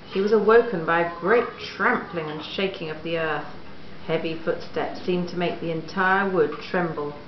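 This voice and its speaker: An echoey home recording, a little dull with faint noise, of a woman reading a storybook. Her voice sits somewhat low as she narrates. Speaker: reader of a children's story. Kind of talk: storybook read-aloud.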